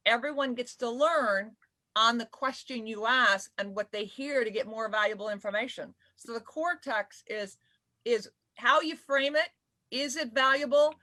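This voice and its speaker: A bright, forceful woman with a fairly high voice talks on a live panel video call. She is animated and quick to laugh. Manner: animated, laughs often, says she has a deep voice. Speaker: spokeswoman and panelist. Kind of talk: casual panel chat on a live video broadcast.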